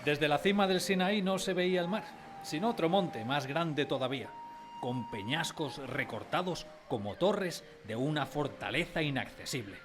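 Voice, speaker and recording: A man playing a sensitive grown man who recalls his timid boyhood, in expressive, dramatised first-person storytelling. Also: fairly high pitch, moderate pace, background noise, close to an everyday voice. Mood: nostalgic. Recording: prominent music behind the voice.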